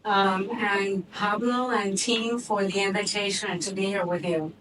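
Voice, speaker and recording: A woman's voice: mid-range voice, steady tone, unhurried, a bit bright. Guest speaker: woman in her 60s with a Cuban accent, chatty and unpolished, heard from afar over room noise. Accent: Cuban Spanish. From Cuban-born, raised in Miami. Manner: conversational, frequent restarts and fillers. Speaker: board member and investor, guest. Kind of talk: fireside chat on stage. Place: office event space, live audience. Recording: distant mic with background noise.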